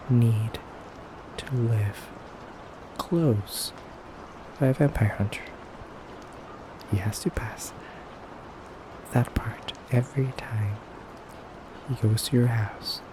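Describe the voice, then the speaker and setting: A man playing a courteous yet menacing effeminate vampire captor in an ASMR roleplay, soft-spoken and deliberate with frequent pauses. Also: mid-range voice, noisy background. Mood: measured, secretive. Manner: soft-spoken, slow, deliberate, pausing often.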